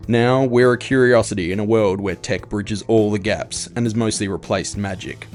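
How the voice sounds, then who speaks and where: A gritty, low-pitched bounty hunter played by a man tells his own cyberpunk fantasy tale. He is world-weary and terse, speaking as a scripted first-person storyteller. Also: moderate pace, a little mellow, soft background music, lightly acted. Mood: confident, gritty. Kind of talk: scripted audio fiction narration.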